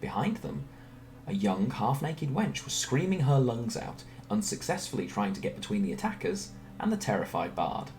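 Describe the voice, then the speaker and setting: A man reading a scripted story aloud in a theatrical, expressive way. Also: mid-range voice, normal speed. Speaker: storyteller reading fan narration. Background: background music.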